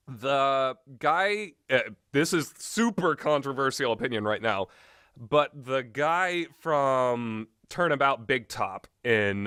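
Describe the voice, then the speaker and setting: A man's voice: mid-range voice, bright, forceful tone, clean tone, dry recording, clean studio sound. A man giving casual, rambling opinions as a video game commentator, blunt and casually profane.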